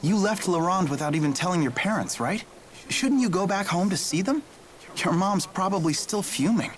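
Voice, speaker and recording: A man voicing an earnest, gentle teenage boy in a video game, sincere and considerate. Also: high voice, lively intonation, normal speed, slight background noise.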